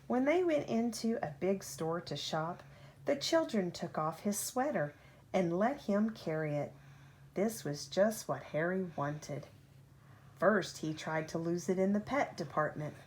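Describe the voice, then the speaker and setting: A woman reading a children's picture book aloud like a parent at bedtime, with expressive, deliberate phrasing and drawn-out key words. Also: on the low side. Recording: home recording with faint background noise.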